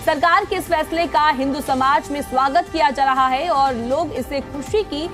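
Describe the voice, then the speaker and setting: A woman's voice: high voice, moderate pace, over music. A woman news anchor reading a scripted news report.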